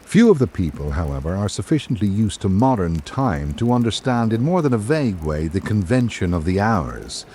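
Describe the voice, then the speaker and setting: Male narrator, lowish and very animated in pitch, formal literary radio-drama read with measured phrasing, faint background noise.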